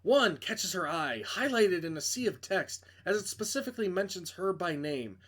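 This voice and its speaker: A man's voice: sits high, expressive, moderate pace, a bit bright. A man narrating a video game playthrough, reading story text aloud dramatically and dropping in casual, blunt commentary. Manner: reads narration dramatically, stumbles and breaks off for blunt asides. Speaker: game streamer doing a playthrough.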